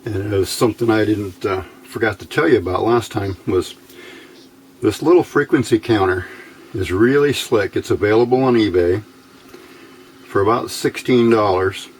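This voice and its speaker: A man's voice: fairly low pitch, normal speed, a little mellow, a little hiss or hum. A man giving a casual hands-on demonstration as an electronics hobbyist, talking in a folksy, informal, rambling way.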